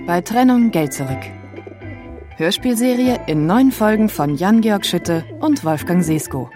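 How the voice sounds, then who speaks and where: A woman announcer reading scripted credits for a radio drama. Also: fairly low pitch. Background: music.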